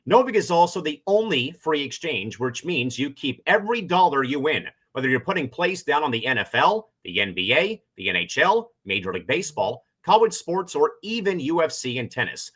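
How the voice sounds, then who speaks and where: A man's voice: fairly high pitch, sing-song, normal speed, fairly bright tone, breathy edge. A man reading a scripted advertisement as an announcer. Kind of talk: scripted ad read.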